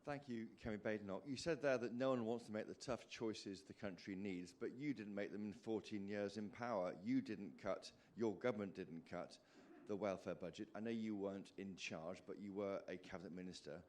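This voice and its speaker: Lowish-voiced man, pointed reporter. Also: a little fast, darkish tone. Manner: challenging, pointed. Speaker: journalist. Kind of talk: press conference questioning.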